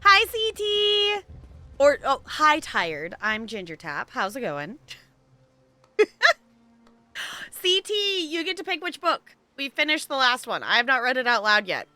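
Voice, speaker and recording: A woman chatting casually and animatedly on a game livestream, halting mid-thought as she goes. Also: high voice, very animated, bright, forceful tone, no echo, faint music behind. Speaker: video game live streamer. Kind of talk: casual livestream chat over gameplay.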